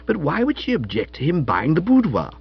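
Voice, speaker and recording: Man as foppish, chatty young English gent for comic storybook; highly expressive and florid, slightly muffled recording. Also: brisk, gravelly, breathy edge, soft background music, heavily acted. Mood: earnest. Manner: breezy, florid, upper-class slang. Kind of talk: audiobook character voice.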